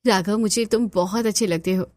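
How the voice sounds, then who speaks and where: A woman's voice: medium pitch, brisk, a little mellow, dry recording, clean studio sound, close to an everyday voice. A woman voicing a kind, earnest young woman, the daughter of a rich company boss.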